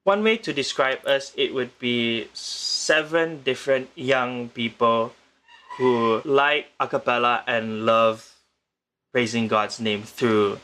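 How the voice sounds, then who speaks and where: A young man from Penang, Malaysia, a guest musician answering interview questions in a measured, thoughtful way, often restarting his sentences. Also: medium pitch, pushed and bright, low-quality recording. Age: young adult. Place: remote location in the Philippines.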